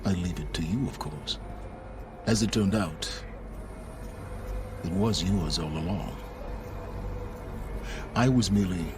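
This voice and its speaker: An actor playing a proud, calculating middle-aged scholar in exile in a video game, speaking in a measured, formal, precise way. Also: male voice, deep voice. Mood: grave, aloof. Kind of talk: video game drama acting. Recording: music under the voice.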